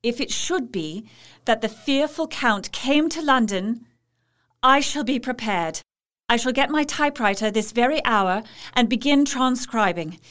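A woman's voice: on the high side, animated, a little slow, fairly bright tone. An actress playing a devoted young Victorian wife, anxious but resolute, reading her journal earnestly and carefully in a radio play. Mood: troubled, resolute.

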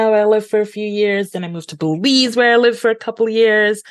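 A woman's voice: fairly low pitch, no room sound. A woman in her forties from Trinidad and Tobago, a guest on an interview podcast, talking openly and laughing often. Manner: laughs often, animated and open. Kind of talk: conversational interview.

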